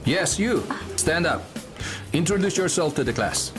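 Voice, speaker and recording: Stern curt college prof, clipped taglish, radio drama, pitch moves a lot, music under it. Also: male voice, animated, measured pace. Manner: commanding, clipped, mixes English and Tagalog. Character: stern, curt, handsome young male college professor. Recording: music playing behind the voice.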